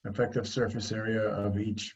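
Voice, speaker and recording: A man's voice: on the low side, flat delivery, moderate pace. Agreeable man on a muffled call. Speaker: committee member. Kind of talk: informal meeting discussion. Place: conference call. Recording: muffled conference call audio.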